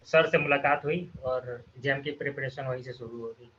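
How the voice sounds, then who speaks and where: A young man in his twenties from Bihar answering questions in an interview, speaking hesitantly with many pauses and restarts. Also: mid-range voice, breathy edge. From Bihar, India. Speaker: former student guest. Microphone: video call. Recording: lo-fi video call audio.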